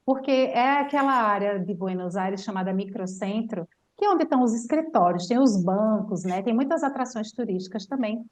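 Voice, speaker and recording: A woman with a Brazilian Portuguese accent, a language teacher telling a lively travel story in casual chat, full of fillers. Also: medium pitch, normal speed, few pauses. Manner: animated storyteller, chatty with many fillers.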